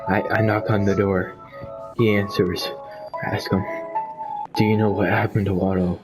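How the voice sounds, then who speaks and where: A man improvising a mock-dramatic story for friends in a halting, pausing way, with music playing behind him. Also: fairly low pitch, little pitch movement. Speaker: amateur storyteller among friends. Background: background music.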